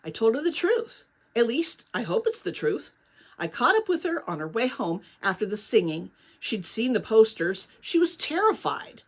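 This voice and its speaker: A woman's voice: a little high, very animated, moderate pace, husky. A woman voicing an earnest, anxious and determined young girl in a storybook, with an urgent, emphatic delivery.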